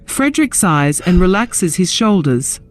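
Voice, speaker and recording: A woman's voice: fairly low pitch, sing-song, measured pace. A woman narrating a scripted fantasy audio drama in a descriptive storytelling style. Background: music.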